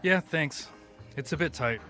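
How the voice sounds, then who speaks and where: An actor playing an earnest, mild-mannered young peacekeeper, polite and tactful, in a comedy audio drama. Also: male voice, fairly high pitch, a little slow, bright, forceful tone, faint music behind.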